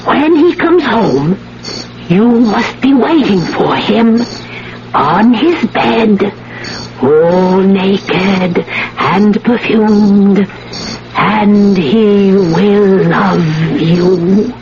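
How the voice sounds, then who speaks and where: An actress playing a fervent, commanding village sorceress with an imperious, incantatory delivery in a 1970s radio drama. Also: female voice, medium pitch, very slow, noisy background. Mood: scheming, urging. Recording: muffled old radio broadcast with music.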